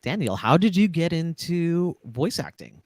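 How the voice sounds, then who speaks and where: A man's voice: a little high, sing-song, unhurried, no room sound. A man casually moderating a remote panel as host, speaking into a close studio microphone. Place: indoor room, video call.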